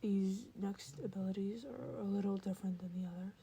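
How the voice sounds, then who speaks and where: A woman voicing a nervous, hesitant young male hero in a fan fiction reading, with halting, self-conscious delivery. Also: medium pitch, steady tone, mellow, slight background noise, natural voice. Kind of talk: fan fiction podfic character voice.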